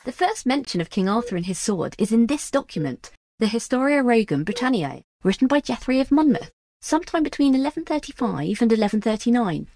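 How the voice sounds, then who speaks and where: A woman plays a poised assistant in a mystery audio play. Her voice is fairly high with animated intonation. She sounds scholarly, precise and sure of herself. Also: a little fast, slight background noise. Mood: informative, assured. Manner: precise, informative, assured. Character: Miss Turner, poised scholarly female assistant. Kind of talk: audio drama acting.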